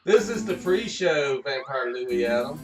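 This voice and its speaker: A man's voice: medium pitch, lively intonation, unhurried. A man chatting casually and jokily as he hosts a live stream before the show starts. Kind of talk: casual pre-show chat. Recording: roomy, distant mic, music behind.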